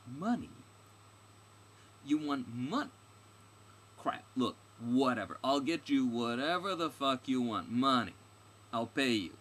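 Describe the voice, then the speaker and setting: A man's voice: mid-range voice, animated, takes it slowly, pauses often, a bit bright, pitched above the performer's own voice. A man voicing a brash, foul-mouthed, impatient warrior woman in a fantasy story, with a blunt, sarcastic delivery. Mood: exasperated, demanding. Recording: clearly noisy recording with ambient background.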